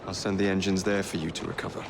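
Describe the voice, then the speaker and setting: A gravelly, low-voiced cocky young assassin. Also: male voice, level tone, fast talker, noisy background. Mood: determined. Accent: English. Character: brash, cocky young Victorian assassin gang leader. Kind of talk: video game cutscene acting.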